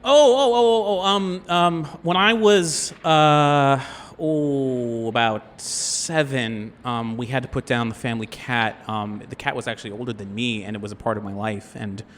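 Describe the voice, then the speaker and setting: A man playing an earnest, sheltered young acting student in an improvised audio role-play, stammering, halting and apologetic. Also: mid-range voice, a little hiss or hum, lightly acted. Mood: unsure, sheepish. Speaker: role-playing game player.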